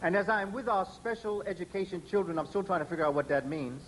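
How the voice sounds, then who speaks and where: A man giving a lecture to an audience, speaking deliberately with pauses and stressed words. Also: high voice, a bit bright, a little hiss or hum, poor audio. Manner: spells words out letter by letter.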